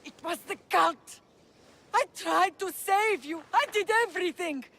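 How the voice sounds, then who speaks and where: Desperate game mom, middle-aged, high pleading voice, slow and very emotional. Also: female voice, a bit bright, heavily acted. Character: anguished middle-aged mother, loving and desperate. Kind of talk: dramatic video game voice acting.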